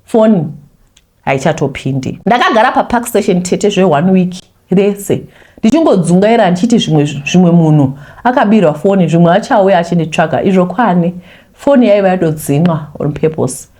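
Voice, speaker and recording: A woman from Zimbabwe, a host who chats animatedly with viewers and then reads a confession aloud. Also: normal speed. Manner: animated, talks straight to viewers, voice leaps high when scolding. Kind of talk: chatty intro, then reading a confession aloud.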